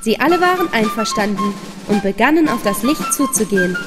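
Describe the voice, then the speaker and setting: High-voiced woman storyteller with long reverb. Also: sing-song, brisk. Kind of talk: scripted fairy tale narration for children. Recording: long reverb under prominent music.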